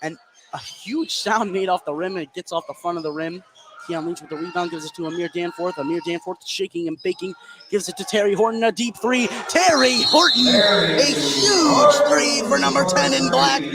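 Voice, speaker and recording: A man's voice: high voice, moderate pace, creaky, over loud music. A man doing live play-by-play commentary of a basketball game. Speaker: play-by-play announcer. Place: courtside in a school gym. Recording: noisy gym broadcast. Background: loud gym ambience.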